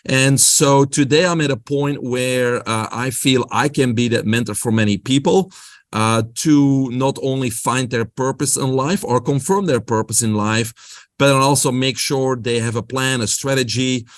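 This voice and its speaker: A man's voice: mid-range voice, a little slow. A man with a Belgian accent, a guest on a podcast interview, explaining his health coaching with an upbeat motivational-speaker delivery.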